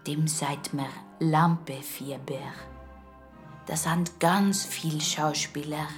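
A woman voicing a kindly older neighbour, soothing and slow, with a hushed, breathy voice. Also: deep voice, steady tone, soft background music, pitched below the performer's own voice. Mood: reassuring.

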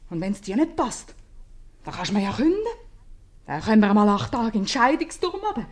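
A woman's voice: mid-range voice, very animated, fairly quick. A woman playing a nagging, folksy innkeeper's wife with a Swiss German accent in a 1950s radio comedy. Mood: scolding, bitter. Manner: scolding, folksy. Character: nagging, plain-spoken innkeeper's wife, mother of a daughter.

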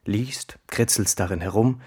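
Sly jealous male companion, low husky voice, needling, radio drama dialogue. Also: a little slow, mellow. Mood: reproachful.

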